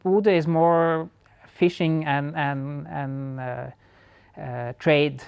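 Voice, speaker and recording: A man's voice: on the high side, fairly even intonation, extremely slow, creaky, clear, resonant tone, dry recording, dull audio. A man from northern Norway with a Norwegian accent, an engineer talking casually as an interview guest, with thoughtful restarts. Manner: thoughtful, frequent restarts and fillers.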